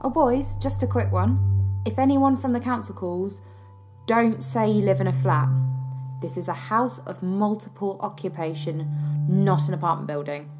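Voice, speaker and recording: An actress as a brisk, shifty landlady. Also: mid-range voice, natural voice. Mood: brisk, conspiratorial. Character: brisk, shifty landlady issuing quick announcements. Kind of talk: audio drama dialogue. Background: loud music.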